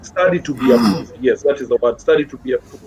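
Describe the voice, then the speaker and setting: A man's voice: medium pitch, fast, a little mellow. A Kenyan man speaking as a music guest in a casual interview, encouraging, repeating phrases and mixing English with Swahili slang. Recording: muffled recording with clear background noise.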